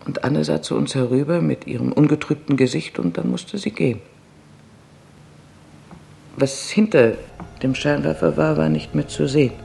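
A man's voice: fairly high pitch, animated, normal speed, creaky, pauses often, warm tone. A man giving a plain, sober narrative account.

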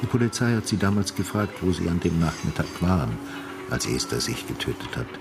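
An actor playing an older retired homicide detective in a German radio drama, questioning in a measured, matter-of-fact, insistent way. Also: male voice, low-pitched, sing-song, creaky, music in the background, full character voice. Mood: probing, calm. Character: retired male homicide detective, calm, persistent, probing.

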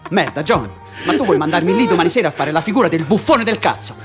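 A man's voice: high voice, animated, fast talker, over loud music. An actor playing a stubborn, touchy, foul-mouthed male prisoner in a radio play, blunt and combative. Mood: indignant, angry. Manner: blunt, combative, insistent, repeats himself. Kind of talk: naturalistic Italian stage-style radio acting.

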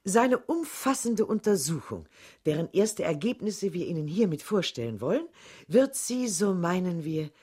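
An actress playing a formal, solemn radio presenter who introduces a study in measured, scripted sentences. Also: female voice, on the low side, big pitch swings, dark tone, breathy edge. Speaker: radio announcer. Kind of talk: scripted radio play announcement.

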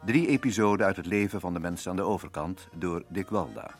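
A man's voice: low-pitched, a little fast. A man reading scripted closing credits as a radio announcer. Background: faint harmonica music.

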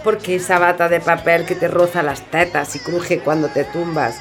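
A woman's voice: lowish voice, little pitch movement, moderate pace, soft background music, close to an everyday voice. A woman playing an exasperated, sardonic complainer in a comic audio play, firing off indignant questions. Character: exasperated, sarcastic woman complaining among friends. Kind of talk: comic theatrical monologue in audio fiction.

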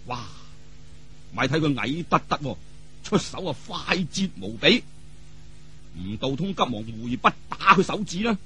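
A male Cantonese storyteller with a high voice that swings widely in pitch. His tone is bright and forceful with a husky edge, and he tells martial arts tales theatrically in colloquial style, throwing in vivid exclamations. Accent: Hong Kong or Guangdong Cantonese.

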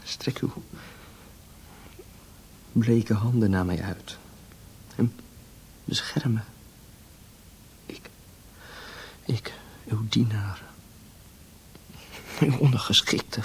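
An actor playing a fervent young priest praying with yearning devotion in a Dutch radio drama, breathy, halting and half-whispered. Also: male voice, on the low side, slight background noise, exaggerated voice. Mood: rapt, yearning.

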